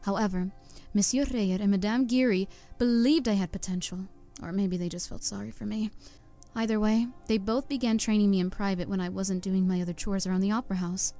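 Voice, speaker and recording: A woman's voice: medium pitch, fairly even intonation, fairly quick, warm tone, close to an everyday voice. A woman voicing an earnest young opera chorus singer who reflects on her past, in a fan audio drama with faint music behind.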